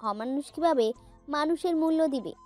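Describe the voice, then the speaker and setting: Protective household matriarch, acted by a woman, high voice, scolding and pointed, audio drama over music. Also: animated, moderate pace, warm tone. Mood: cutting. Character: protective, sharp-tongued aunt of a wealthy household. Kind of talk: Bengali audio story acting. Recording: music bed under voice.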